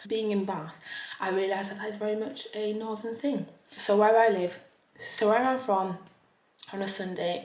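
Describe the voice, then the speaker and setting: A young woman talks her way through a makeup vlog, rambling and animated. Her voice sits a little lower than most women's, and the room around her gives it an echo. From Leeds, northern England. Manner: rambling and animated, lots of fillers, exclaims in disbelief. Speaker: vlogger. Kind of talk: casual chatty vlog while doing makeup.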